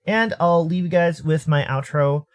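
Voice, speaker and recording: A man's voice: a little high, moderate pace, clean tone. A man from Arizona with an American accent, a streamer casually addressing his viewers. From Arizona, United States. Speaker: streamer, video host.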